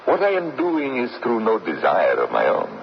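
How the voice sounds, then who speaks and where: An actor playing a courteous, measured middle-aged father who hosts dead guests in an old radio drama. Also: on the low side, wildly swooping pitch, creaky, heavily acted. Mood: modest, polite. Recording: dull, noisy old radio broadcast.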